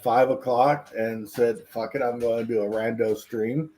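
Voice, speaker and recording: A man's voice: medium pitch, steady tone, normal speed, a little mellow. A man hosting an early-morning casual stream chat, joking and blunt. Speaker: streamer host.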